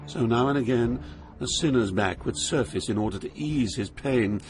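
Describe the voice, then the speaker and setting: An older actor playing a solemn poet, narrating his journey through hell in a measured, literary way. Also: medium pitch, over music, close to an everyday voice. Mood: grave, haunted. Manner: grave, measured, poetic.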